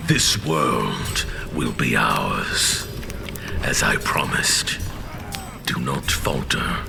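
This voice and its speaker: A man's voice: expressive, very slow, music in the background, full character voice. A man playing a vengeful, imperious warlord titan in an audio drama, with a growling voice. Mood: defiant reassurance. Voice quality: very deep, gravelly growl.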